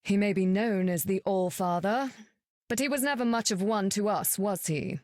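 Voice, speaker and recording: A woman's voice: mid-range voice, normal speed, darkish tone, no room sound, clean studio sound, natural voice. A woman playing a stern, proud warrior goddess in a video game, speaking in a formal, archaic, severe way. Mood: stern, resolute. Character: stern, proud warrior goddess, fierce protector of her sister. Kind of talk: video game character voice acting.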